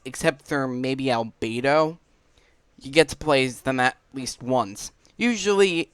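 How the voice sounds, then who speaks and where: A man's voice: mid-range voice, a bit bright, clear, resonant tone, no room sound. A man hosting a gaming video, talking casually as he gives commentary on his play.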